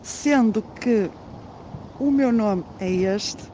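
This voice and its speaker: A woman leaving a deliberate personal message as a radio listener. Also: mid-range voice, dark tone, lo-fi sound. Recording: voice message, faint vehicle noise.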